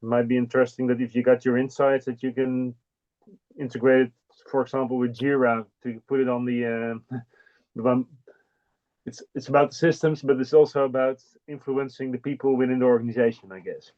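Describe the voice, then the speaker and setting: A man's voice: mid-range voice, flat delivery, fairly quick, a little mellow. Man on a dull, lo-fi line. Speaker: webinar participant. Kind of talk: commenting in a webinar.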